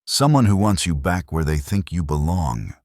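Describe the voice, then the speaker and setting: A man voicing a grim, steady cowboy homesteader in a western audiobook, terse and deliberate. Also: deep voice, no room sound, studio quality. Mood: grim, resolute.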